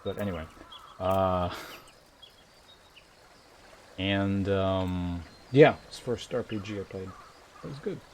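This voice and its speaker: A man's voice: deep voice, slow, pauses often, a little hiss or hum. A man live streaming a game, chatting casually with viewers in a rambling, polite way as he thinks aloud. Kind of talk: casual chat while streaming a game, reading viewer messages. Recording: music behind the voice.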